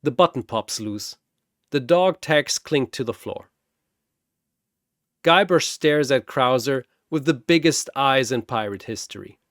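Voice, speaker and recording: A man's voice: medium pitch, lively intonation, measured pace, fairly bright tone, no echo, clean studio sound. A man narrating a scripted story in a wry, theatrical way.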